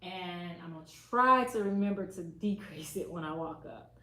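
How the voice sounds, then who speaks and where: A woman hosting a casual sewing tutorial from her sewing office, chatting and thinking out loud as she works and moving nearer to and farther from the mic. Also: lowish voice, moderate pace. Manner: chatty and relaxed, thinking aloud while working; moves around the room, voice nearer and farther from the mic. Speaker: sewing channel host. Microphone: added mic, camera across the room. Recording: roomy echo, faint background hiss.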